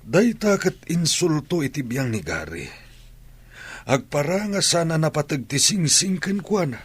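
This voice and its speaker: A man's voice: fairly high pitch, sing-song, measured pace, husky, no room sound, full character voice. A man with a Filipino accent playing a stern older father in a radio drama, reproachful and admonishing. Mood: dismayed. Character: stern older father scolding his gambling grown child. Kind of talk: Ilocano radio drama acting.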